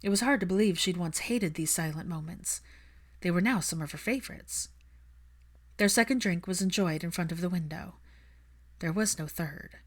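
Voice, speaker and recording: Female audiobook narrator, slightly low pitch, scripted story reading.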